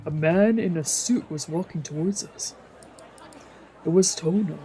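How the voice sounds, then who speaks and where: Mid-pitched visual novel reader over music. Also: measured pace, warm tone. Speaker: streamer reading a visual novel aloud. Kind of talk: scripted narration. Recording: music behind.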